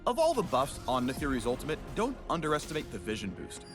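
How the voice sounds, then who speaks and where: A man's voice: medium pitch, huge pitch swings, fast talker. A man narrating a scripted video game character guide in a punchy, theatrical promotional style. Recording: music bed behind.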